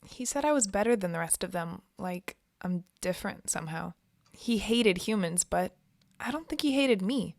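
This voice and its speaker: A woman's voice: medium pitch, expressive, moderate pace, creaky, dry recording, studio quality. A woman playing a guarded, self-doubting young trainee in an audio drama, hesitant and downbeat in naturalistic dialogue. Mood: troubled. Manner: hesitant, downbeat, clipped answers.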